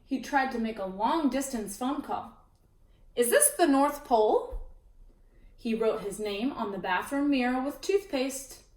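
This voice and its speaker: Woman storyteller, picture book read-aloud, bright fairly high voice, echoey room, mic at a distance. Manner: expressive, with chatty asides to listeners. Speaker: children's storyteller. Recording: roomy echo, distant mic.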